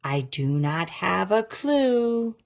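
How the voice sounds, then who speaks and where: A woman reading a children's picture book aloud, with a deliberate, expressive storytelling delivery. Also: mid-range voice, creaky. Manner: slow, deliberate, expressive delivery of rhyming lines. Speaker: story reader.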